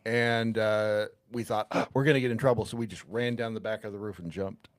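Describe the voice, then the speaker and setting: A man's voice: on the low side, fairly even intonation, fairly quick, no echo. A man in casual podcast banter, teasing and tossing in questions. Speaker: podcast panelist.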